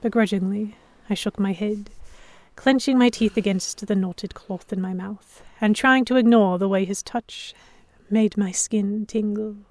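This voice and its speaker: A woman giving expressive first-person narration in the style of a dramatic audiobook. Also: mid-range voice, a little slow, no echo.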